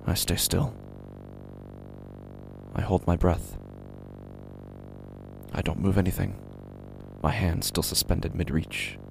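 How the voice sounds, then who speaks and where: Deep, gravelly, brooding father acted by a man. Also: sing-song, slow, pauses often, background noise, exaggerated voice. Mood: brooding, uneasy. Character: uneasy, brooding father narrating his own horror story. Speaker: narrator and lead character of a horror audio drama. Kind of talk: first-person horror audio drama narration.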